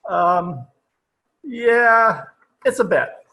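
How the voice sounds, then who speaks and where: A man's voice: high-pitched, lively intonation, drawn-out words, sharp tone, exaggerated voice, poor audio. A man playing a stubborn, stuffy older judge in old-time radio comedy, with a formal, pompous way of talking. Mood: flustered, defiant. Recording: online video-call recording.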